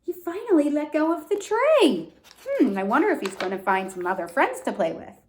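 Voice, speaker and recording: Female read-aloud teacher, high-ish lively voice, expressive storytelling with simple asides for young children. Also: moderate pace, a little hiss or hum. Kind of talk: storybook reading with explanations and questions.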